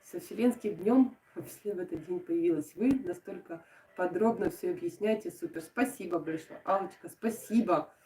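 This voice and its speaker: A woman from Odesa with a southern Ukrainian accent in her Russian, softening her g sounds. She is chatting casually on a craft live stream and answering her viewers' comments with lots of fillers. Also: on the low side, moderate pace. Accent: southern Ukrainian Russian, soft g and "sho". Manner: chatty and warm toward viewers, with frequent laughs and fillers. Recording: roomy, from a distant mic.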